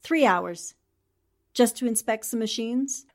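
Woman playing determined lady reporter sleuth, 1940s radio drama style, higher voice, formal probing questions. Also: takes it slowly. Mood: cool. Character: determined, shrewd newspaperwoman sleuth, cool and probing.

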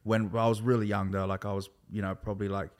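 A laid-back Australian man in his thirties speaks in a low, steady voice that barely rises or falls. He talks loosely and at length, circling his point with plenty of "like" and "bro", as a guest in an easygoing conversation. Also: fast. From Sydney, Australia. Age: 30s. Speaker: guest, hip hop artist. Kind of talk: casual conversation.

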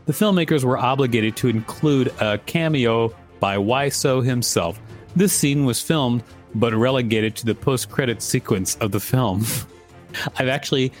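A man co-hosting a podcast, reading an article aloud with informal, teasing banter. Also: mid-range voice. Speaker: podcast co-host.

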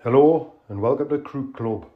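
A man's voice: medium pitch, moderate pace, pitched above the performer's own voice, natural voice. A man with a Geordie accent voicing a bossy male leader of a small-time crook club, chummy and swearing, in a dull lo-fi recording. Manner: chummy, swearing, calls everyone pet.

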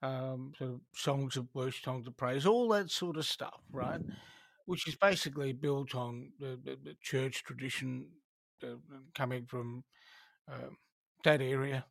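A man in casual conversation, thoughtful and halting, often restarting his sentences. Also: mid-range voice, gravelly, no echo, low-quality recording.

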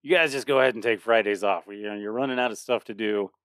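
A man's voice: fairly low pitch, fast, a bit bright, clean tone, dry recording, studio quality. A man in his late thirties co-hosting a podcast in a casual chat, rambling with frequent restarts and laughter. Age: 30s. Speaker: podcast co-host.

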